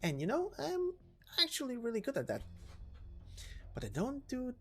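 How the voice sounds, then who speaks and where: A woman playing a lively, scheming female director. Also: high voice, moderate pace, husky, faint music behind, voice pushed up, full character voice. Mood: coolly persuasive. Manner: persuasive, teasing, matter-of-fact. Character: smooth scheming female corporate director, playful and businesslike. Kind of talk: dramatic game story reading.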